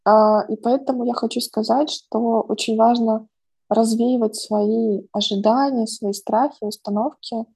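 A woman's voice: fairly high pitch, fairly even intonation, a little slow, mellow, breathy edge. A woman, a psychologist chatting casually and reflectively, thinking aloud with frequent fillers. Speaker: podcast host, psychologist. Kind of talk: casual conversational chat. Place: home.

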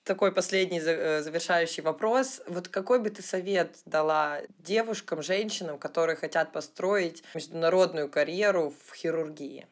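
A woman interviewer asking a closing question in a calm, measured way. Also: on the low side, soft background music.

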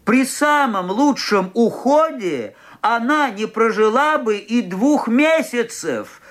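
An actor playing a fussy, well-meaning older doctor in a radio drama, hesitant and consoling. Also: male voice, extremely high pitch, animated, slow, fairly bright tone, full character voice. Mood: earnest, consoling. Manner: hesitant, repeating himself, consoling.

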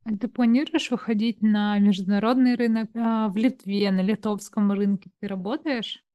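A woman's voice: mid-range voice, steady tone, normal speed, warm tone, dry recording. A woman hosting a casual interview, curious and asking her guest friendly questions. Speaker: interview host.

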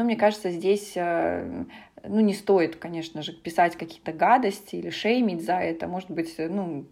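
A woman who coaches English pronunciation, a podcast guest, speaking thoughtfully and pausing with hesitation sounds. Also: on the low side, a little mellow. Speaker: guest, British accent specialist. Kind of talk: casual podcast conversation.

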